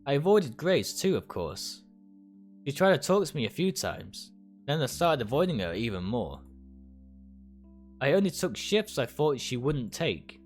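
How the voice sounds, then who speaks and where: Expressive man reading aloud over music. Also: medium pitch, unhurried. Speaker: game streamer reading a visual novel aloud. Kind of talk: dramatic reading of first-person narration. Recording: music behind the voice.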